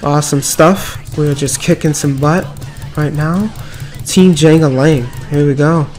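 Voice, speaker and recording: Upbeat man, raspy from a cold. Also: fairly high pitch, steady tone, measured pace, a little mellow. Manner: upbeat, filler-heavy running commentary. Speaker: mobile game YouTuber. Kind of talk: casual gameplay commentary. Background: background music.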